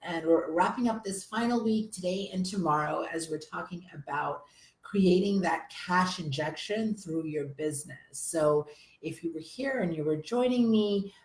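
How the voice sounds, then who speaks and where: A hoarse woman with a summer cold, a business coach chatting energetically to viewers on a live stream. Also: lowish voice, moderate pace, echoey room, low-quality recording. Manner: energetic, chatty, addresses viewers as you guys.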